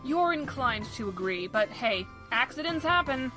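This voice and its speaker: A woman's voice: sits high, lively intonation, moderate pace, music in the background. A woman acting as a wry, playful, mock-formal narrator of a web audio drama, reading scripted narration. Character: wry, playful storybook-style female narrator. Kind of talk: scripted second-person narration.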